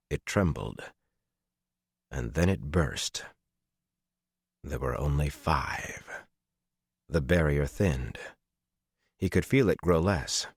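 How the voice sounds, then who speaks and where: A man reading a dramatic fantasy audiobook as its narrator, with a measured, intense and expressive delivery. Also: low-pitched, dry recording, clean studio sound.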